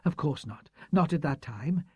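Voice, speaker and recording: A proud, bitter older schoolteacher, as a male narrator puts her on, speaks in clipped, indignant phrases. The voice sits higher than the reader's own, with a mellow, slightly husky tone in a dry, close recording. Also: lively intonation, normal speed, no echo, lo-fi sound.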